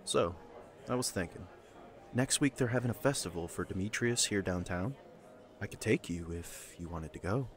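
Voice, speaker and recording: A man playing a calm, courteous young castle guard in an audio drama, casual and a little hesitant. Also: low voice, normal speed, lightly acted. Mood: gentle, hesitant.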